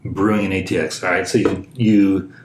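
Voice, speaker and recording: A man's voice: deep voice, flat delivery, normal speed. A man hosting a casual podcast chat in an easygoing way. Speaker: host. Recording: echoey room, faint noise.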